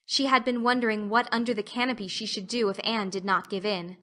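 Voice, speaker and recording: A woman narrates an audiobook. Her voice sits a little high and has a bright, forward tone. The delivery is scripted storytelling. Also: fairly even intonation, brisk.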